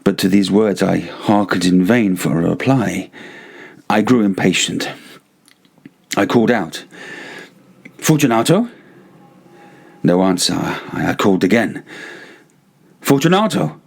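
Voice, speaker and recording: A man reading a gothic story as narrator, theatrical and deliberate, with pauses between phrases. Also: low voice, gravelly, husky.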